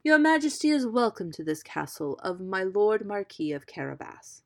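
A woman voicing a clever male cat from a storybook, polite, flattering and persuasive in an emphatic, courtly way. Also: medium pitch, moderate pace, creaky. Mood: welcoming.

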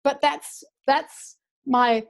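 A woman's voice: high-pitched, steady tone, drawn-out words. A woman educator explaining her ideas as an interview guest in an earnest, passionate way.

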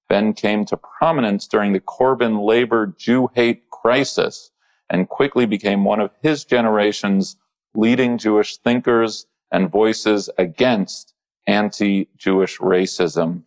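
A man, a rabbi, hosting a podcast interview, speaking in a halting way with many pauses, fillers and restarts. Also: fairly low pitch.

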